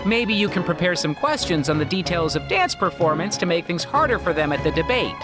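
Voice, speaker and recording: Scrappy, defiant young man, cheeky and very lively in mid pitch, game dub over music. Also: fairly quick. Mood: supportive, cheeky. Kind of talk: English anime game dub acting.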